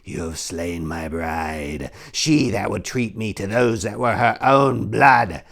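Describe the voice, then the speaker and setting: Arrogant devil prince, dark fantasy, very slow booming proclamations, wrathful. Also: male voice, creaky, full character voice.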